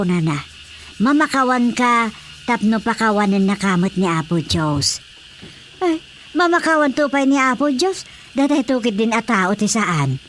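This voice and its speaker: An actress as a motherly village woman in a radio drama, fairly high-pitched. She is pious and nagging, quick to exclaim and scold. Faint noise runs behind her. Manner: exclaiming, scolding, animated interjections. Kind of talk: Ilocano radio drama comedy acting.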